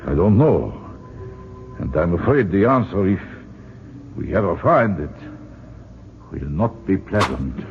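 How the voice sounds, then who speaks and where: Grave scholarly man, swooping low voice. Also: sing-song, heavily acted. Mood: ominous, troubled. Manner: formal, deliberate phrasing. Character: grave, worried male scholar and foreign guide. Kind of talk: old-time radio drama acting. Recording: noisy, phone-like old radio recording.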